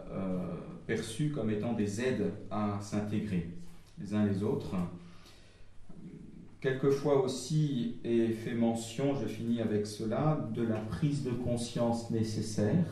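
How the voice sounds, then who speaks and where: Halting man in a very echoey hall. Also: fairly low pitch, mellow, slight background noise. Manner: halting, with frequent pauses and restarts. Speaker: speaker summarizing group feedback at a church gathering. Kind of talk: public talk, reading out notes. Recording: very echoey hall, voice from far off the mic.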